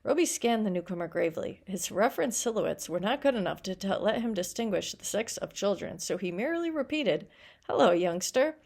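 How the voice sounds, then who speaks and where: A woman with a creaky, gravelly edge to her voice reads fiction aloud in the way of a teacher. She corrects her own slips and steps out of the narration to explain. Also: medium pitch, expressive, fairly quick, hardly any pauses, darkish tone. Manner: stumbles over words and corrects herself. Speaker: teacher reading a short story aloud.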